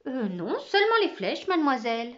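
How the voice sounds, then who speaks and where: A woman's voice: sits high, big pitch swings, brisk, fairly bright tone, lo-fi sound, voice pushed up. A woman reading a children's storybook, voicing young pupils who answer their teacher in a polite, childlike way. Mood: hesitant, reluctant. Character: schoolchildren, boys and girls, hesitant or excitable pupils.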